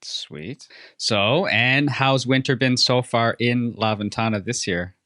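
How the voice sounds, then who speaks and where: A man's voice: medium pitch, takes it slowly, pushed and bright, no echo, clean studio sound. A man hosting a podcast, chatting casually and encouragingly with his guest in an upbeat interview. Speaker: podcast host.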